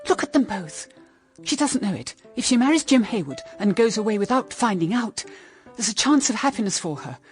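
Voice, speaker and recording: A woman's voice: mid-range voice, sing-song, fairly quick, soft background music, natural voice. An actress with a British accent playing a middle-aged widowed mother, solemn and anguished, in radio drama style. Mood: fearful. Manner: solemn, intense, melodramatic. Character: anguished, bitter widowed mother pleading with her daughter.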